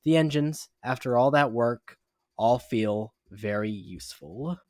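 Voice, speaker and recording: A man's voice: medium pitch, expressive, unhurried. A man doing an amateur dramatic reading of a fan story as narrator, stumbling now and then over the words. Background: background music.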